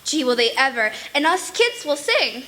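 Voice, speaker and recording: A woman's voice: sits high, sing-song, normal speed, sharp tone, slight background noise, exaggerated voice. A female performer playing an eager, pestering little neighborhood girl in an old-time radio comedy, gushing and full of repeated questions. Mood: gushing, excited.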